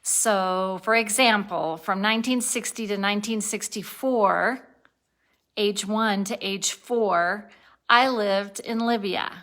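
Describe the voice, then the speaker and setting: Slow-talking woman in her sixties, tired. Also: mid-range voice, a bit bright. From born in Turkey, raised abroad, lives in Colorado. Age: 60s. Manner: rambling, self-correcting, laughs at herself. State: tired, a little spacey. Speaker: vlogger. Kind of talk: casual daily video diary.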